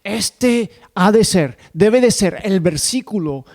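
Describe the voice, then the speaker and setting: A male preacher, whose first language is not Spanish, giving a sermon and leading a prayer in a careful, deliberate way. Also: sits high, expressive, a little mellow. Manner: careful, deliberate delivery of a non-native speaker.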